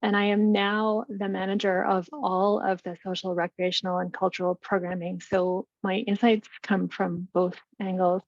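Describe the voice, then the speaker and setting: A woman who manages a seniors network, presenting her program in a webinar with lively energy and some restarts. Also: medium pitch, moderate pace, few pauses, dry recording, muffled recording. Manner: energetic, talks through slides with restarts. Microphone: video call.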